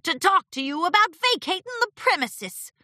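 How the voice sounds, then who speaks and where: A woman acts out a male pony businessman from a storybook. He grumbles and presses his complaint with smug frustration. The voice is high, bright and very animated. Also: moderate pace, no echo, studio quality, exaggerated voice. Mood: grumbling. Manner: grumbling, insistent.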